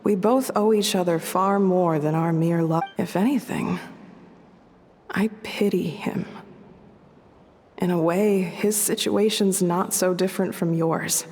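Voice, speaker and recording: A woman playing a gentle, wise female mentor who gives soft, caring advice. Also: fairly low pitch, unhurried, slight background noise. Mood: resolute. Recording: long hall reverb.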